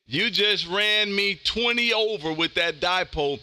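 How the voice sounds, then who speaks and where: An American man hosting a ham radio stream, talking casually in a loud, animated, slangy way. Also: sits high, measured pace, dry recording. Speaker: amateur radio operator hosting a live stream. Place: home radio room.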